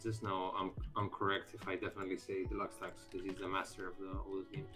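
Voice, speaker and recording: A man's voice: low-pitched, completely flat delivery, fast, over music. A man from Greece with a Greek accent, a game developer chatting casually on a live stream, talking with frequent fillers and restarts.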